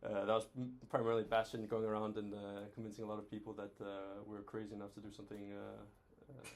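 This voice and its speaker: Man with an even, level delivery. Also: fairly low pitch, quick pace, vocal fry, noisy background. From Denmark, raised across several countries. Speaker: venture capital COO, guest speaker. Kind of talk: informal presentation to students.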